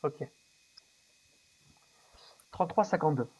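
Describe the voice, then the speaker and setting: A man's voice: fairly high pitch, expressive, slow, a little mellow, husky, dull audio. A man in a live debate, reading a passage aloud steadily and plainly. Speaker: debate participant reading a verse.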